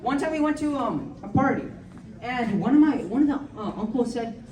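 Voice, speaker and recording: A woman's voice: a little high, animated, moderate pace, darkish tone, slight background noise. Giggly teen girl doing stand-up in an echoey hall. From United States. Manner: chatty, giggly, rambling with many likes and ums. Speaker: stand-up comedian. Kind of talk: amateur stand-up comedy set. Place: large hall with audience. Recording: echoey hall, speaker far from mic, crowd behind.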